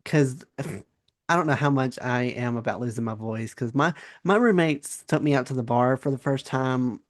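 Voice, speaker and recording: A man's voice: mid-range voice, moderate pace, no echo, studio quality. A young man in his twenties hosting a podcast, chatty and self-deprecating. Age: 20s. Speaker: podcast host. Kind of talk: casual interview chat.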